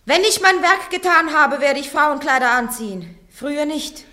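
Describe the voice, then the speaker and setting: A woman's voice: sits high, lively intonation, normal speed, bright, forceful tone. An actress playing Joan of Arc, a young woman on trial who answers her judges curtly and stubbornly in a German radio play. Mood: defiant. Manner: stubborn, curt, defiant replies. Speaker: accused. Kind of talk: 1950s-60s German radio drama.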